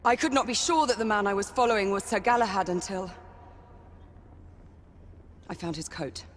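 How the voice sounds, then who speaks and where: A woman's voice: medium pitch, normal speed, lightly acted. A woman playing a sober knight in a video game, giving testimony in a careful, formal manner. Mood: restrained, grave. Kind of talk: period drama game acting. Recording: reverberant hall with background ambience noise.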